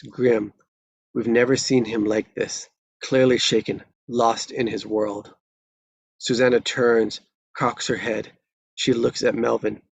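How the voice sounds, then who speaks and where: A man reading screenplay stage directions as dramatic narration, in terse, deliberate phrases. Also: medium pitch, gravelly, no room sound.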